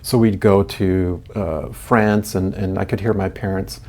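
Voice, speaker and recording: A man's voice: low-pitched, steady tone, measured pace, darkish tone. A man in his sixties who grew up in Germany, a podcast guest telling his story in a reflective, chatty way with frequent restarts and small laughs. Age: 60s. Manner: reflective, chuckling, many restarts. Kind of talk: casual interview chat.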